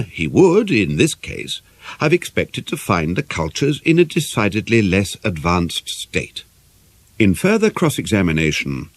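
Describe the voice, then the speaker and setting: A man reading a novel as an audiobook narrator, in a measured, formal and expressive way. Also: fairly low pitch, breathy edge, no room sound. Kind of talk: scripted narration of a murder mystery novel.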